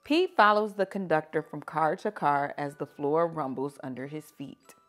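A woman reading picture books aloud to children like a teacher, with animated, drawn-out emphasis. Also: low-pitched, soft background music. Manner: animated, drawn-out emphasis, asking listeners questions. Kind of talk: expressive children's story read-aloud.

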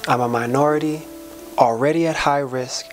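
A woman's voice: very deep voice, fairly even intonation, normal speed, faint music behind. A woman delivering an inspirational spoken-word soliloquy in an impassioned, preacher-like way, with folksy, colloquial phrasing.

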